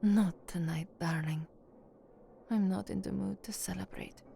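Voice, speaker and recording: A woman's voice: mid-range voice, fairly even intonation, measured pace, gravelly, warm tone, a little hiss or hum. An actress playing an anxious young working-class woman and trainee newsreader in an audio drama. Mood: subdued. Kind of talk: audio drama acting with first-person narration.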